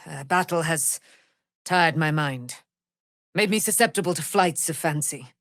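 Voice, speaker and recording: A proud noble warrior lady from a fantasy game, super slow grand old-timey speech, kinda low voice. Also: fairly bright tone, dry recording, studio quality. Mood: solemn, earnest. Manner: theatrical, archaic, declamatory speech. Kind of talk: dramatic fantasy video game voice acting.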